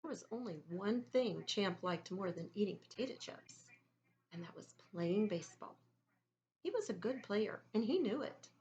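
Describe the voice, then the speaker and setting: A woman reading a children's story aloud in an expressive, deliberate teacherly way, pausing to put questions to her listeners. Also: medium pitch, dull audio, slight background noise.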